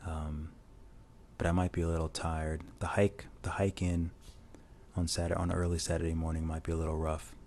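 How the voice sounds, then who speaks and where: A man with a very deep, dark-toned voice rambles easily through a drawing tutorial voice-over, often restarting his sentences. He talks into a lavalier mic on a phone, with a faint hiss underneath. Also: brisk. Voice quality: low voice. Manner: rambling, relaxed, many restarts. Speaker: illustrator narrating a drawing tutorial. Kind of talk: casual voice-over while drawing. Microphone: lavalier mic plugged into a phone.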